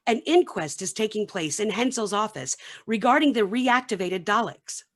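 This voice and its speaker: A woman's voice: mid-range voice, expressive, moderate pace. A woman giving scripted narration for a radio play, reading out the action between scenes.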